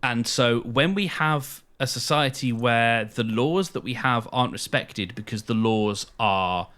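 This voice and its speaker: A British man co-hosting a podcast, explaining things casually in a halting way, often hedging and correcting himself. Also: medium pitch, fairly bright tone. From United Kingdom. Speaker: podcast co-host.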